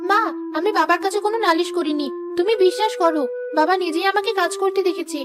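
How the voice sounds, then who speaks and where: A woman's voice: extremely high pitch, quick pace, loud music behind the voice. Woman voicing a meek young stepdaughter. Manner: soft, pleading, submissive. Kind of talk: cartoon dubbing.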